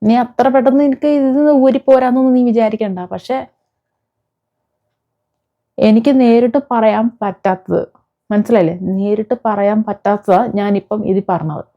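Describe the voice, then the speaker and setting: A woman's voice: medium pitch, steady tone, normal speed, highs cut off. A woman delivering an impassioned, confrontational vlog rant, scolding someone with repeated rhetorical questions. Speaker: vlogger. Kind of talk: impassioned rant addressed to an absent person.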